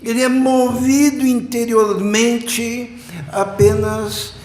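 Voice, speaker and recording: A man, preaching a sermon slowly and emphatically, building up repeated phrases. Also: extremely high pitch, level tone, darkish tone, soft background music. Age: 60s. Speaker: pastor. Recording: echoey church room.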